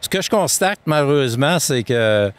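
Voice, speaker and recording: A Québécois man answers interview questions as a political candidate. He speaks at a middle pitch in a measured way. He often pauses with hesitations. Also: lively intonation. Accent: Québécois French.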